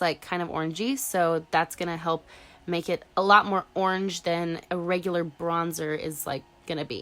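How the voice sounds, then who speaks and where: Young woman, stuffy congested voice, slightly low pitch, chatty makeup tutorial narration. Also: a bit bright. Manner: chatty, casual, uses "like" a lot. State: congested from a cold. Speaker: beauty vlogger.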